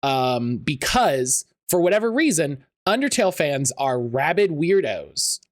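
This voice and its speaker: A man's voice: fairly high pitch, big pitch swings, a little slow. A man hosting a podcast interview, rambling with lots of fillers and restarts. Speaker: podcast host.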